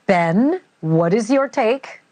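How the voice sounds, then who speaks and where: A woman's voice: mid-range voice, expressive, takes it slowly, a little mellow. A woman hosting a news interview in a conversational style, putting questions to a guest.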